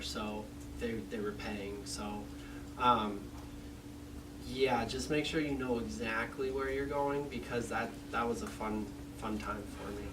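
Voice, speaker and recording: A man tells a story about an interview in an easygoing, casual way, and his sentences often fade out toward the end. He sounds far from the mic, with a constant hum behind him. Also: mid-range voice. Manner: relaxed anecdotal, trails off quietly. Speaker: student internship panelist. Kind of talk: storytelling on a panel. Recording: distant mic with steady hum.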